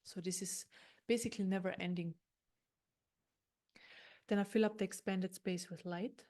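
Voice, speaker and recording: A woman leading an unscripted guided meditation in a gentle, unhurried, explanatory manner, often circling back over her words. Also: medium pitch, fairly even intonation.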